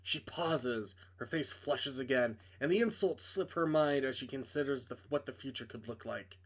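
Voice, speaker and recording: A man's voice: medium pitch, normal speed. A man reading a visual novel aloud as a dramatic narrator, sometimes stumbling over words. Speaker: reader playing a visual novel.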